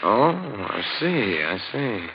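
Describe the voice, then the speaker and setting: An actor playing a genial, self-satisfied wealthy art collector with a hearty manner in 1940s radio drama style. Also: male voice, on the low side, big pitch swings, slow, heavily acted. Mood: guarded, amused. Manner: hearty, chuckling, repeats his phrases. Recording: muffled, noisy 1940s radio recording.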